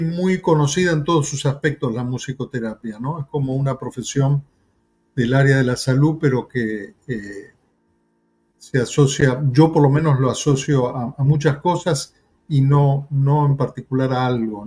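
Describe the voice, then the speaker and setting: A man with an Argentine Spanish accent leads an interview in a conversational way. His voice sits at a middle pitch, and he builds his questions slowly, with hesitant fillers along the way. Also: dark tone. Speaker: interview host.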